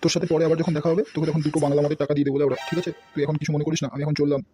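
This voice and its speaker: A man's voice: on the high side, flat delivery, racing, dark tone, soft background music, natural voice. A man voicing a loyal but blundering thug in a comedy cartoon, brash and swearing. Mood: apologetic. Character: loyal, thuggish, blundering male henchman. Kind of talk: amateur comedy cartoon voice-over.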